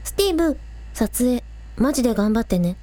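A woman's voice: a little high, animated, a little fast, mellow, no echo, lightly acted. A woman playing a spirited, earnest young village idol, polite with superiors and breezy with friends, in a radio drama. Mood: brisk.

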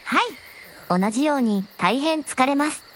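A woman playing a gentle, very polite dragon princess with a softly teasing manner in a scripted audio drama. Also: high-pitched, lively intonation, measured pace, a little hiss or hum. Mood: gentle, earnest. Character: gentle polite dragon princess, a shrine maiden's alternate self.

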